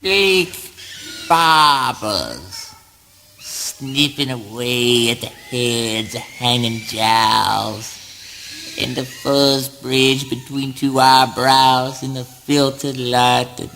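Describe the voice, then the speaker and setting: A man's voice: medium pitch, drawn-out words, bright, forceful tone, exaggerated voice. A man playing a strange, grandiose misfit in a theatrical spoken word play, with obsessive, repetitive, stammering delivery. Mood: dreamy, brooding. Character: Eugene, strange grandiose male misfit, menacing and childish. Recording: noisy early-nineties amateur audio play.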